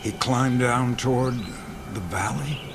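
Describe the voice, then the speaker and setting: A man's voice: mid-range voice, a little slow, natural voice. Guy acting a stubborn tired army captain in a radio play, music under him. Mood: stern, questioning. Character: stubborn, weary army captain protective of his missing men.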